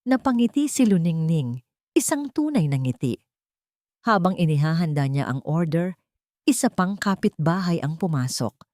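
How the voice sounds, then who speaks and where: A woman's voice: on the low side, big pitch swings, moderate pace, mellow, no room sound, studio quality. A woman narrating a scripted dramatic story.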